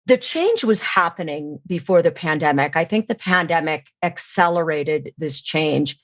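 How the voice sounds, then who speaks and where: A woman's voice: low voice, animated, moderate pace, no echo. A woman explaining things as an expert podcast guest, in an instructive way, often posing questions and answering them herself. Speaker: guest, sales training expert. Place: remote online call.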